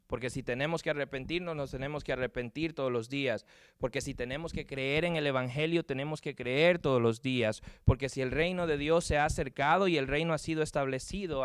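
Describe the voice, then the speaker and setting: A man's voice: on the high side, smooth, dry recording, studio quality. A man with a Puerto Rican Spanish accent preaching a sermon earnestly, often repeating words as he searches for them. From Puerto Rico. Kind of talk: sermon with closing prayer.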